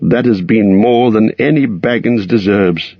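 A man plays a small, kindly hobbit in a storybook reading, pitched well above his own voice. The hobbit sounds shaky and fretful, his husky tone muffled by a dull, lo-fi recording. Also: unhurried, no echo. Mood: sorrowful. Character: small anxious male hobbit, weary and kindly.

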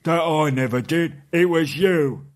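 A man voicing a loud, quarrelsome male troll with a gruff, husky, coarse drawl. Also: mid-range voice, slow, voice pushed up.

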